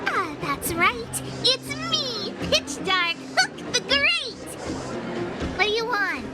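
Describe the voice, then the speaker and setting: A woman's voice: extremely high pitch, very animated, slow pace, over loud music, heavily acted. A woman voicing a bumptious little girl gang boss in a video game, boastful and childlike.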